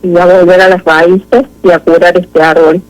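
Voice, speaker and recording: A woman with an Argentine Spanish accent giving a halting, introspective personal account as an interview guest. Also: lowish voice, little pitch movement, rough recording.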